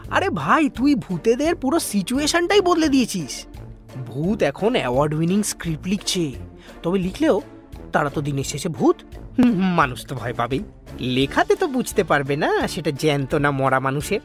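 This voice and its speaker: A man's voice: sits high, big pitch swings, moderate pace, soft background music. An actor, a man, playing a teasing young ghost in a comic audio drama, chatty and mocking. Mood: cajoling, amused. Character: cheeky, teasing young male ghost buddy.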